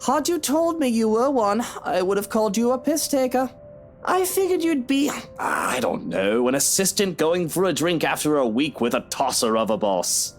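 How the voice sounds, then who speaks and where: Cheeky married man, lively swooping voice. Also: high voice, normal speed, a little hiss or hum. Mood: teasing. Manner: teasing, informal, British-style slang. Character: cheeky, moody married man, musing about his wife. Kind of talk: visual novel game voice acting. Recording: music behind the voice. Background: music.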